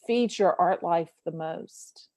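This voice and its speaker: An attentive woman interviewer asks questions in an unhurried, deliberate way and affirms what she hears. Her voice sits a little low for a woman. The sound is dry, with almost no room echo. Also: warm tone. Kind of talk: casual interview.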